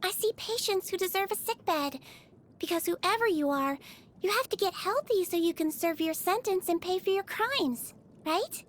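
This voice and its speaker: A woman voicing a small, sweet, childlike nurse in a video game, speaking in a gentle, chatty and earnest way. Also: very high-pitched, expressive, moderate pace. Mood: earnest, caring. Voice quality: childlike, cutesy. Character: small sweet girlish nurse creature, caring and cheerfully earnest. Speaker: infirmary nurse. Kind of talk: video game character voice lines.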